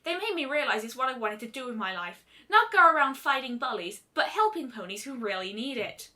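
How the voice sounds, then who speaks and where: A woman's voice: a little high, sing-song, a little fast, pushed and bright. A woman voicing a brash, stubborn young female pegasus pony in a story reading, blunt, emphatic and slangy. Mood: determined.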